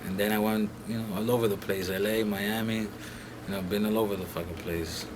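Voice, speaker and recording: A man's voice: fairly low pitch, fairly even intonation, a little fast, background noise. A young man from Colombia talking casually and bluntly as an interview guest in a recording studio, peppering his speech with profanity and filler phrases.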